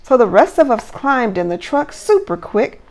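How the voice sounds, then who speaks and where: A woman preschool teacher doing an animated read-aloud for young children, with simple encouraging questions. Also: a little high, normal speed.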